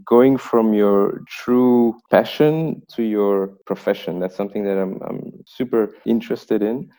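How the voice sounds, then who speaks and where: Mellow-voiced podcast host, dry distant mic. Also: male voice, on the low side, no echo. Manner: earnest, encouraging questions. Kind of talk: podcast intro and interviewing.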